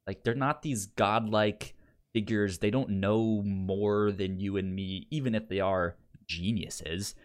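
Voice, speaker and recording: A man's voice: fairly low pitch, steady tone, takes it slowly. A man co-hosting a podcast in casual review chat, enthusiastic, often stammering and repeating syllables as he goes. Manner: enthusiastic about the material. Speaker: podcast co-host reviewing fiction.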